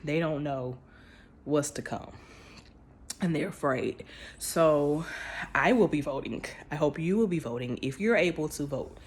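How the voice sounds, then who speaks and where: A woman's voice: deep voice, a little slow, long pauses, breathy edge. A woman talking straight to her viewers in a casual, emphatic commentary, speaking informally and restarting her phrases as she goes. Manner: informal speech with dropped grammar. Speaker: vlogger.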